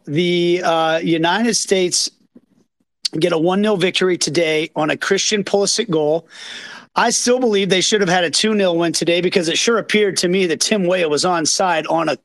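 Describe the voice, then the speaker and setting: A hoarse man from Kansas City hosting a live post-match reaction, talking in an excited, rambling, self-deprecating way from a green room backstage. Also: sits high, normal speed. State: hoarse from yelling. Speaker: podcast host. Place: green room behind an outdoor stage. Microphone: phone.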